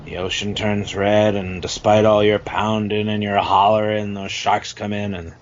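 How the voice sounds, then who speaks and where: A man playing a gruff, boastful old shark hunter and war survivor in an amateur audio drama, telling salty, rambling stories. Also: fairly low pitch, level tone, moderate pace, lightly acted. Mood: grim, haunted. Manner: rambling salty storyteller, addressing listener as Chief. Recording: dull lo-fi audio with loud background noise.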